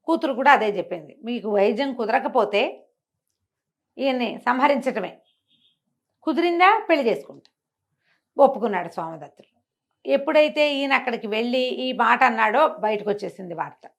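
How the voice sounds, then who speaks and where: Expressive woman telling a moral tale. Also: a little high, moderate pace, pauses often. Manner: expressive, acts out the characters' lines with shifting voice. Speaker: storyteller guest. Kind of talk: animated storytelling in an interview.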